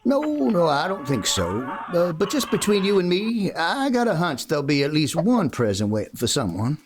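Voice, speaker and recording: A man playing a kindly, easygoing cowboy in a western radio play, talking in a halting, folksy way. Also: a little high, wildly swooping pitch, a little hiss or hum. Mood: wry, teasing. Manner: folksy, halting, gently coaxing. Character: kindly, easygoing drifting cowboy of the Old West.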